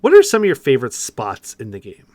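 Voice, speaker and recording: A man's voice: mid-range voice, huge pitch swings, quick pace, a little mellow, no room sound. A man hosting a podcast, chatting informally and conversationally about video games. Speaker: podcast host. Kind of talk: casual video game discussion.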